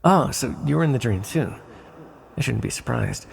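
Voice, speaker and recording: Gravelly, courteous ancient villain, played by a man. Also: mid-range voice, animated, slight background noise. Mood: gently probing. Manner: hesitant, halting, gently persuasive. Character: ancient sealed male villain, softly pleading, courteous, secretive. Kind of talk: ASMR roleplay monologue.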